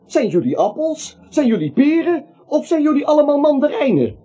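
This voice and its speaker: An actor playing a nervous, bewildered everyman in a Dutch radio play, stammering and pleading in a husky voice. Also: very high-pitched, big pitch swings, normal speed, mellow. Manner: stammering, pleading, repeats himself. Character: nervous, bewildered, neutral everyman protesting his innocence. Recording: muffled, dull radio play recording.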